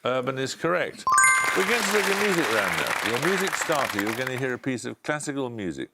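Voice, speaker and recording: A man hosting a televised quiz, reading questions and calling answers in a brisk, authoritative way. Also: medium pitch, sing-song, a loud crowd, heavy background noise. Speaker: quiz show host.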